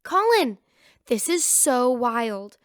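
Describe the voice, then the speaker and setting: A woman's voice: fairly high pitch, big pitch swings, slow pace, no echo, clean studio sound. An actress playing an eager female scientist explaining things in a sci-fi radio drama. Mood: excited. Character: excited female scientist, a doctor aboard a spaceship.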